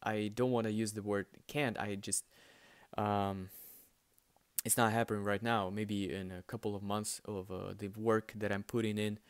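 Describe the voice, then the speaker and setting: A man's voice: lowish voice, clean tone, dry recording. Hesitant man with a light Russian accent. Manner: hesitant, many fillers and restarts. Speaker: language learner answering viewer questions. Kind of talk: casual spoken Q&A.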